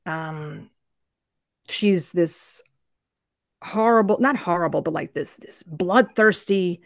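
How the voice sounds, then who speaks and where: A woman's voice: lowish voice, takes it slowly, dry recording, studio quality. A woman co-hosting a podcast, chatting casually with playful jokes. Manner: joking, playful. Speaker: podcast co-host.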